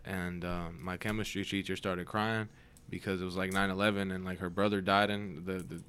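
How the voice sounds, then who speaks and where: A man's voice: sits low, little pitch movement, moderate pace, fairly bright tone, slight background noise. A young man chatting casually, blunt and profane, often laughing. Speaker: podcast co-host. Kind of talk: casual chat.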